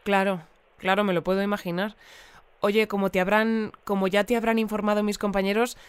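An actress plays a composed female radio newsreader with an accent from Spain. Her voice is very clear and smooth, recorded dry. She reads and interviews in a formal, orderly way, as in a scripted audio drama bulletin. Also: mid-range voice, level tone, fairly quick, dry recording, lightly acted. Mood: attentive, businesslike. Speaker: news bulletin presenter.

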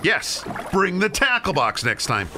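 A man's voice: high-pitched, big pitch swings, a little slow. A man playing a grumpy, sarcastic amateur fisherman bickering in a comic radio play. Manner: sardonic, bickering. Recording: clear boat and water noise.

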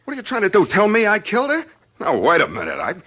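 A man's voice: sits high, wildly swooping pitch, quick pace, sharp tone, full character voice. An actor playing an evasive, cornered trucker in a 1940s radio drama, with urgent, slangy tough-guy talk. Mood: alarmed, pleading. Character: cornered, evasive male trucker pleading for his life. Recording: noisy vintage 1940s radio recording.

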